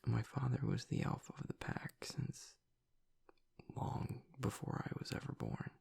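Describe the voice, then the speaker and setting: Casting: male actor as tough young werewolf boyfriend in audio roleplay, grief-stricken and choked up, very husky half-whisper, slow and halting delivery. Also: mid-range voice, huge pitch swings, a little mellow, heavily acted. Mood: reverent, sorrowful. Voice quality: breathy, gravelly near-whisper. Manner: halting, hesitant, confiding. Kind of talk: intimate audio roleplay monologue.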